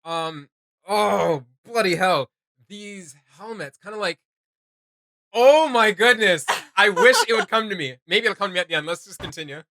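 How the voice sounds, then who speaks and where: A man's voice: high-pitched, normal speed, sharp tone. A man giving casual, slangy reaction commentary with enthusiasm, as host of a reaction video. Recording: music playing behind. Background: music.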